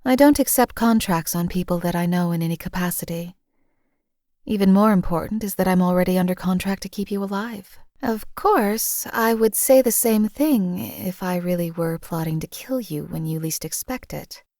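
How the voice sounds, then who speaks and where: A woman voicing a numb, detached female hired killer in a game, who talks in a measured, dry way. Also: on the low side, darkish tone, dry recording, natural voice. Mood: dry, wry. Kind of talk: video game companion voice lines.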